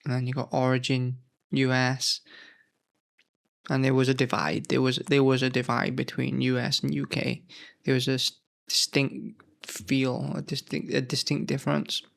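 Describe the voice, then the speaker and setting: Male host, gravelly, average pitch, feeling unwell, rambling and self-correcting, relaxed nostalgic chat. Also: normal speed. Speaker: gaming channel host. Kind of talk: casual nostalgic commentary.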